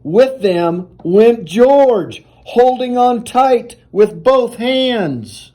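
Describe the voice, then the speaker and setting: A man reading a children's picture book aloud in an animated, deliberate storytelling manner. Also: very high voice.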